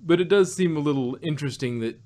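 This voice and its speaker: A man's voice: a little high, quick pace, darkish tone. A man co-hosting an audio drama review podcast, talking in a rambling way with many restarts and fillers. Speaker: podcast co-host. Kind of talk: casual chat.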